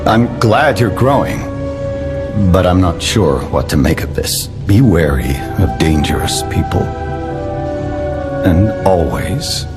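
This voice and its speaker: A man playing a grave, protective old father figure in a video game, measured, solemn and paternal. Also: deep voice, expressive, over music, exaggerated voice. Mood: grave concern. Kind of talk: dramatic video game acting.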